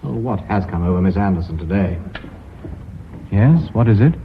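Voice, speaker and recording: A man acting in a radio drama as a brisk, decisive businessman in charge of an embattled firm. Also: deep voice, expressive. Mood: scheming, brisk. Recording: muffled lo-fi radio recording, faint hiss.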